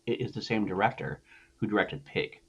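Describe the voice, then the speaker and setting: Man, lowish voice, slightly husky, podcast host, sweary and opinionated, casual film chat, dull recording. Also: brisk, a bit bright. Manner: sweary, rambling, emphatic.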